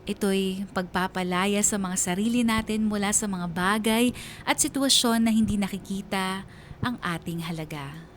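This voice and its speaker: A woman playing a reflective letter sender, narrating a scripted life story earnestly and with measured delivery in a Filipino accent. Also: mid-range voice, slight background noise, lightly acted. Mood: resolute.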